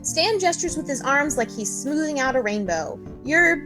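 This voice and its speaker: A woman's voice: sits high, animated, normal speed, music in the background, low-quality recording. A woman co-hosting a livestreamed game read-along, chatting and reading out the narration. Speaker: co-host reading narration and player lines.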